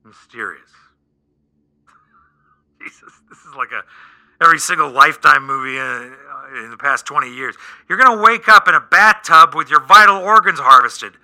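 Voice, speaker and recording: A man's voice: a little high, moderate pace, sharp tone, rough recording. A man acting an exasperated, sarcastic, prying Hollywood agent in a comedic radio play, talking in incredulous, rambling rants. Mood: sardonic disbelief.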